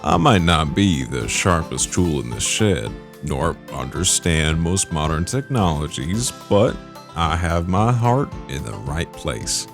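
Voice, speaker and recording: A man playing a gentle, modest young cowboy who tends animals, with folksy talk, in an amateur audio drama. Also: deep voice, exaggerated voice.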